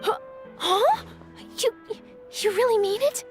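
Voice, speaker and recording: A woman's voice: very high-pitched, lively intonation, slow, full character voice. A woman voicing an eager, upbeat young female newspaper reporter, in game voice acting. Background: game music.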